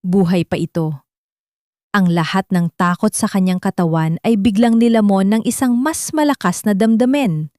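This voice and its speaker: A woman's voice: medium pitch, normal speed, darkish tone, dry recording, studio quality. A woman reading a scripted, dramatic audio story as its narrator.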